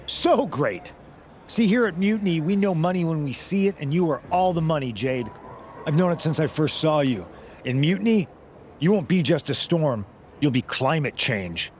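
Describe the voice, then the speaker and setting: A scheming male wrestling boss played by a man in a game cutscene, laying on theatrical flattery. His voice is high and very lively, with faint noise in the background. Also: normal speed, lightly acted. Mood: flattering. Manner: flattering, theatrical salesman patter. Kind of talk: scripted video game cutscene dialogue.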